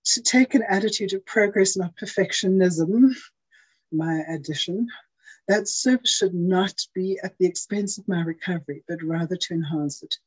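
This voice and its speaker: A woman's voice: lowish voice, normal speed, darkish tone, breathy edge, rough recording. A woman giving an earnest, prepared service talk over a video call. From South Africa. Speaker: outgoing intergroup chair giving a service talk.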